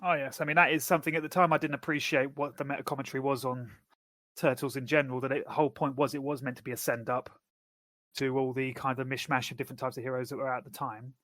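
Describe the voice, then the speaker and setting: A middle-aged man co-hosting a podcast, talking quickly and reflectively in casual chat. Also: medium pitch, level tone, a bit bright, dry recording, clean studio sound. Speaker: podcast co-host.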